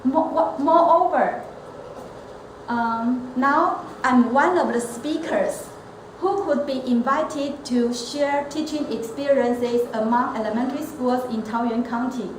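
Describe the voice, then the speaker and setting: High-voiced, slow-speaking woman. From Taiwan. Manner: measured, earnest, with occasional restarts. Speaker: elementary school teacher and club member. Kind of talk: prepared club speech. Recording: echoey room with steady background noise.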